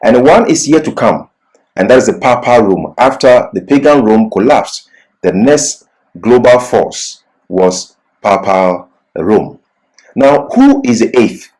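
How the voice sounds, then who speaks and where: A man's voice: mid-range voice, lively intonation, slow pace, darkish tone, rough recording. A man giving an expository Bible lecture as a teacher, didactic and leaning on key words.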